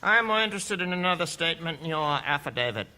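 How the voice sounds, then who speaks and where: An actor playing a skeptical judge who questions a witness in a courtroom radio drama. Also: male voice, fairly high pitch, lively intonation, pushed and bright. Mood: probing. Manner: measured, questioning. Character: probing, skeptical male judge.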